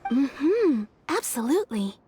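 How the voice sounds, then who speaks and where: A woman's voice: high voice, big pitch swings, slow, dark tone, a little hiss or hum, heavily acted. A woman playing a courteous, welcoming kimono shopkeeper in a video game, polite and deferential. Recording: music playing behind the voice.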